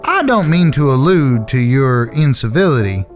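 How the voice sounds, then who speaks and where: A man's voice: medium pitch, sing-song, normal speed, faint music behind, close to an everyday voice. A man playing a touchy, reckless outlaw with a folksy drawl and mock-courteous wordiness in a comedic western audio drama. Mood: indignant politeness. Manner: mock-courteous, wordy, indignant.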